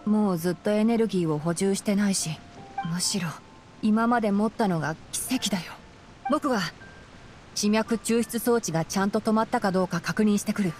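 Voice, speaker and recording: A woman voicing a calm young male fox-eared forest scholar in a Japanese anime game, explaining in a measured, boyish way. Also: mid-range voice, close to an everyday voice. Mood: composed, serious. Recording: music behind the voice.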